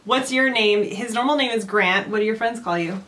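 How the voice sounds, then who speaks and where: A woman in her thirties with a Californian accent, her voice sitting a little high. She runs a casual chat, asking questions with an easy, giggly curiosity. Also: fairly quick, noisy background. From Southern California. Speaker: host.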